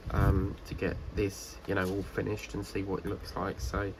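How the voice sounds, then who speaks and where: A man with a fairly low, gravelly voice talks in a rambling, casual way, full of fillers and restarts. His voice is croaky and stuffed up from a cold. A faint hiss sits behind him. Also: monotone, normal speed. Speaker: diamond painting vlogger. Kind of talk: casual chat while crafting.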